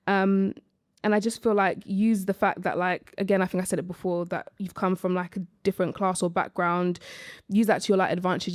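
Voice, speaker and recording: A woman panelist talks casually and informally, giving advice in a flat, even tone. Her voice sits a little low, and the recording is dry. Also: smooth, dry recording. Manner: informal, chatty, frequent "like".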